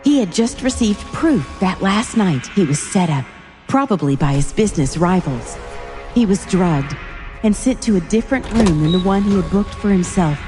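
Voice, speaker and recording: Female narrator for suspense drama: mellow, lower register, theatrical storytelling delivery. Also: animated, moderate pace, soft background music.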